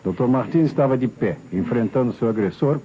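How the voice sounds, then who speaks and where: An actor playing a probing, deliberate police commissioner with a Brazilian accent in an old radio crime drama. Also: male voice, medium pitch, animated, dark tone, a little hiss or hum, natural voice. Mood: measured, analytical. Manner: deliberate, authoritative, questioning. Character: brisk, probing police commissioner, a grown man. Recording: muffled vintage radio recording, music behind.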